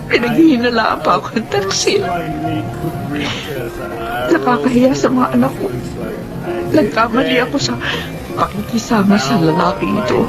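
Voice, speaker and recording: A plaintive Filipino mother played by an actress in a radio drama, wounded and long-suffering. Her pitch moves widely, under loud music. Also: close to an everyday voice, low-quality recording. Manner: plaintive, emotional.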